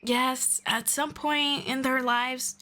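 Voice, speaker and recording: Soft-spoken elf lady, a woman doing her, calm and formal, kinda high voice, takes her time. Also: exaggerated voice. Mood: calm, patient. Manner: soft, unhurried, politely formal. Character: patient, calm female elf friend, gently formal. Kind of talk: ASMR roleplay.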